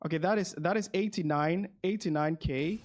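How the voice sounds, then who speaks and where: A man with a slightly high voice hosts a game stream. He explains gameplay casually, addresses his viewers and often repeats the start of a phrase while working things out. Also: normal speed. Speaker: streamer and game guide host.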